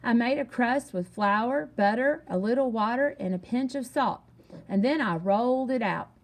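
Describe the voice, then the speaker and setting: Woman, fairly high voice, storybook read-aloud, animated and emphatic delivery. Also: normal speed. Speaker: storybook reader.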